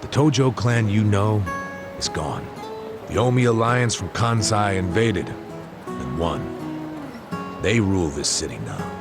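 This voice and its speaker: Wry, low-voiced ex-detective, swinging intonation. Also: male voice, lively intonation, a little slow, long pauses. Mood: wry, blunt. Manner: sardonic, casual, goading. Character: wry, jaded middle-aged male ex-detective, streetwise and scheming. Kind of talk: video game cutscene voice acting. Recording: dramatic music behind the voice. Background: loud background music.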